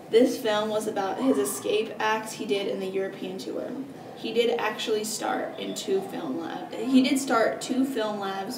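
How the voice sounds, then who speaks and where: A young woman narrates a scripted history report as a student presenter, stumbling and correcting herself as she reads. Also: medium pitch. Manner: stumbling, self-correcting reading. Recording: distant mic, roomy echo, clear noise.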